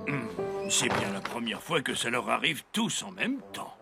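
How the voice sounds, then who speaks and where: An actor playing a jolly old Father Christmas in a cartoon, with a gravelly, expressive delivery. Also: mid-range voice, moderate pace, over loud music. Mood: preoccupied. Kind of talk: animated cartoon acting in French.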